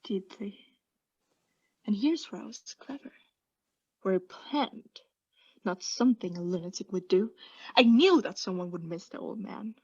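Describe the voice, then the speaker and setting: A young woman playing a guilty, unhinged caretaker in a radio play, breathy and often whispering, with halting, insistent self-justification. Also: medium pitch, expressive, highs cut off. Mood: smug, unhinged. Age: young adult. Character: guilty, unhinged caretaker, anxiously feigning calm politeness. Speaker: student actor.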